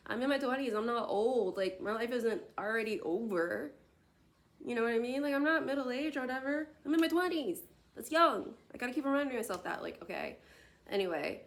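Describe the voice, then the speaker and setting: A woman's voice: a little high, lively intonation, fairly quick. A woman in her late twenties, talking to herself on a tablet camera in a rambling vlog, full of tangents and filler words. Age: late 20s. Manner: tangential, filler-heavy, many "like" and "you know what I mean". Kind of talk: rambling solo vlog monologue.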